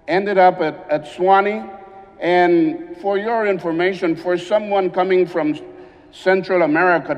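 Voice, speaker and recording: Honduran bishop talking slow with pauses, kinda high voice, echoey church, dull sound. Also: male voice. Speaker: visiting bishop. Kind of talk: church address.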